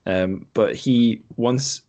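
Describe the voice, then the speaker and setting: A man's voice: mid-range voice, drawn-out words, warm tone. A man hosting a podcast in casual conversation, speaking hesitantly and correcting himself as he goes. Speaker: podcast host.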